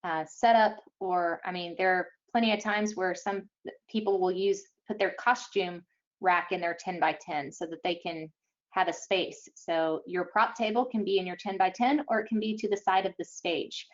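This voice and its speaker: A woman's voice: fairly low pitch, fairly even intonation, normal speed. A woman speaking as a contest official on a webinar, informally answering questions and explaining rules with examples. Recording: slightly dull webinar audio.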